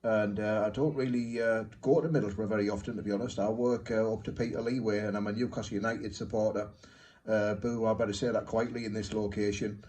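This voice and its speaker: Low, even-voiced man. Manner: hesitant, halting phrasing in short pieces. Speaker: amateur radio operator. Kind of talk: unscripted ham radio contact chat.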